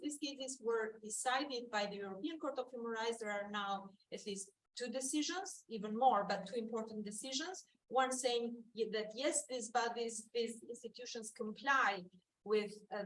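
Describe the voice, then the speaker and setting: A woman's voice: fairly high pitch, fairly bright tone. A woman giving an academic conference talk, hesitant, with many fillers and restarts. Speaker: conference panel speaker. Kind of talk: academic presentation. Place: conference hall. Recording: roomy hall mic from far off with hiss.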